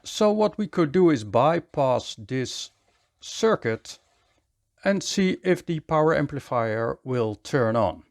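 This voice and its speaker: A man giving a hands-on electronics repair tutorial in a measured, step-by-step way, often repeating short phrases. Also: mid-range voice, expressive, vocal fry.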